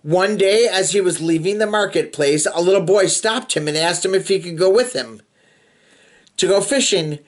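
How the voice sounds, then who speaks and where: A man's voice: sits high, moderate pace. A man hosting a children's read-aloud, telling a picture-book story expressively with playful, chatty asides to young listeners. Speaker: storybook reader, host of a read-aloud show.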